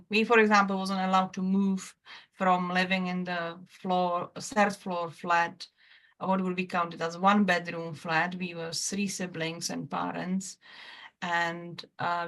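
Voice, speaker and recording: Czech-accented woman, low gravelly voice. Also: fairly bright tone. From former Czechoslovakia. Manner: halting, many restarts and fillers, non-native grammar. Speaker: guest, trauma therapist. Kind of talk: casual interview talk.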